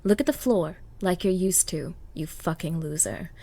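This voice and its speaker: A woman's voice: fairly low pitch, moderate pace, gravelly, dark tone. A woman playing a spoiled, contemptuous young gold digger in an ASMR roleplay, talking in a condescending, mocking, bossy way. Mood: angry, demeaning. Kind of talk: ASMR roleplay audio acting.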